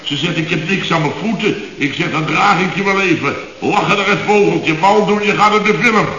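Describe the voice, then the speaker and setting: Radio comedy boss: excitable contractor, bright forceful voice, blustering and indignant, on a hissy echoey old recording. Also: male voice, sits high, fairly quick, muffled recording. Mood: excited. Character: flustered, excitable male building contractor boss recounting his mishaps. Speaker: construction firm boss. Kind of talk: radio comedy serial acting.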